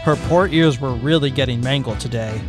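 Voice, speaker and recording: A man's voice: medium pitch, brisk, music in the background. A man narrating a fanfiction story aloud with a dry, wry delivery.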